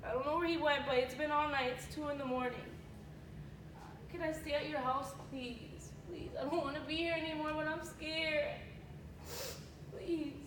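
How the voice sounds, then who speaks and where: Woman doing a scared young woman, pleading, high voice, faraway, echoey. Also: fairly quick, hardly any pauses. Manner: pleading, hushed and shaky. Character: frightened young woman fleeing abusive partner. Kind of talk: amateur role-play scene. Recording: distant voice in roomy, noisy room.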